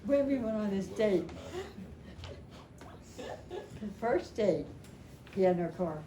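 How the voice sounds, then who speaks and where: An older woman telling a personal story to a gathering in a chatty, halting way, repeating phrases as she goes. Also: medium pitch, animated. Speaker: storyteller at a family memorial. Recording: echoey room, clear background noise.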